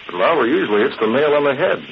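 A thoughtful young male publisher-detective from an old radio serial, inquisitive and composed, with lively intonation. The sound is muffled and clearly noisy. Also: mid-range voice, fast. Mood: pondering. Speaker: newspaper publisher hero. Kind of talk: 1940s radio acting.

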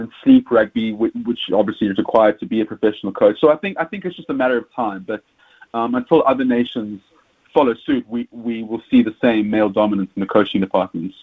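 A man's voice: medium pitch, expressive, vocal fry, breathy edge. South African man on a tinny phone line. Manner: halting, many repeated words and fillers. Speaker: rugby pundit guest. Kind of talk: casual interview chat.

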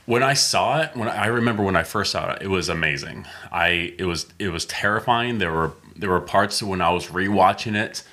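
A man's voice: low-pitched, fairly quick, few pauses, bright, forceful tone. A man in his thirties on a casual movie podcast, hesitant and full of fillers. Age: 30s. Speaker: podcast co-host.

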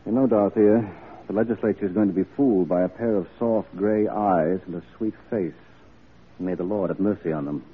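Kindly male statesman: low, rough-edged voice, thoughtful, reverent, measured period radio delivery, muffled broadcast. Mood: gentle, reverent. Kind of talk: 1940s radio acting.

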